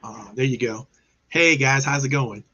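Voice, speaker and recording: A man's voice: mid-range voice, normal speed, sharp tone, dull audio. A man from Maryland hosting a casual online business show over a video call, talking in an upbeat, enthusiastic way with frequent restarts. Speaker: host.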